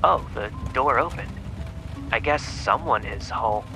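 A man's voice: medium pitch, animated, unhurried, vocal fry, over music. A man playing a hesitant, apologetic lost camper on a phone call in a horror audio drama. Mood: uncertain. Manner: hesitant, sheepish.

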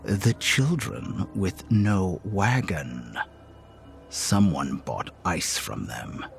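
An actor playing an ancient inhuman man in a horror audio drama, quietly threatening and mocking in a low, gravelly voice. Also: lively intonation, faint music behind, heavily acted. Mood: ominous. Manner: slow, cryptic, quietly threatening.

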